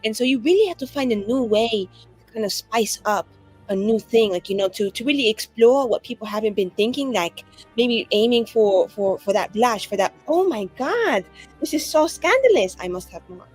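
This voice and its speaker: Woman as breezy, suggestive female romance author for a tabletop game, slightly high register, faint music behind. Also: animated, moderate pace, darkish tone, husky, rough recording. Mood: breezy, teasing. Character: bawdy, self-assured woman author of racy romances. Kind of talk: improvised tabletop roleplay.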